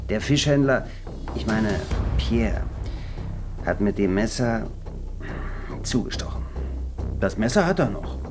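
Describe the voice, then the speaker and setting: A man's voice: on the low side, animated, takes it slowly, long pauses, over music. A man playing a sly, servile and self-righteous informer in a German radio drama, hesitant and obsequious. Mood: defensive, servile.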